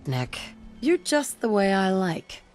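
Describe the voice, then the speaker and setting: A woman's voice: medium pitch, sing-song, slow pace, darkish tone, slight background noise. An actress playing a supportive, affectionate woman adventurer in a video game, sincere and plain-spoken. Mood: tender, flirtatious.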